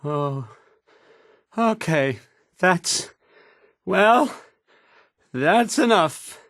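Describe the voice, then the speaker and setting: Casting: timid young game-world DJ, anxious and awkward, halting stammer, pitch jumping all over, dry sound. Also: male voice, animated, fairly bright tone, no room sound, heavily acted. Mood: anxious, hesitant. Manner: stammering, hesitant, nervous, trailing off. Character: timid, awkward young male radio host, anxious and insecure.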